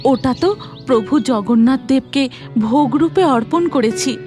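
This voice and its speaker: A woman's voice: high voice, measured pace, dark tone, faint music behind. A woman voicing a devout, humble, hospitable village wife in a Bengali cartoon. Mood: respectful.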